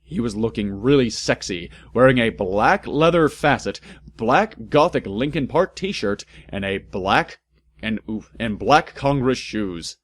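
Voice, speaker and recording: A man's voice: medium pitch, lively intonation, a little slow. A man doing a comic dramatic read-aloud, theatrical and exaggerated, breaking into amused asides. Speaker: narrator and host of a comedic fan fiction reading.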